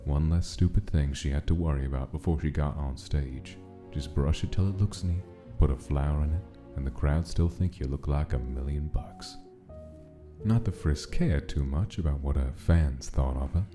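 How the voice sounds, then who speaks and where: A man's voice: a bass voice, normal speed, over music. A man reading scripted narration of a gritty gangster-era story in a comic dub. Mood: brooding, measured.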